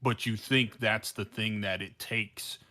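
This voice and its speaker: A man's voice: lowish voice, fairly even intonation, measured pace, pushed and bright. A man co-hosting a podcast in casual, opinionated discussion, often repeating and restarting phrases with exasperated disbelief. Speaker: podcast co-host.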